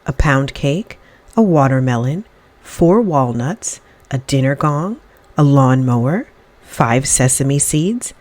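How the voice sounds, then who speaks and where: A woman reading a children's story aloud in expressive, dramatic narration with deliberate phrasing. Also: rumbling low.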